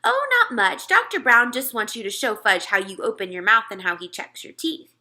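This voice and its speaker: A woman's voice: on the high side, lively intonation, moderate pace, pushed and bright, heavily acted. A woman voicing a sweet, fussing dental nurse with a sugary, coaxing manner for a children's story.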